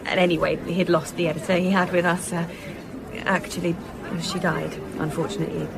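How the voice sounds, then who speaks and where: A woman's voice: on the low side, flat delivery, a little fast, creaky. A woman playing a chatty, indiscreet book publisher in a naturalistic radio drama. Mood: candid. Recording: busy crowd noise behind. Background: chatter of a festival crowd.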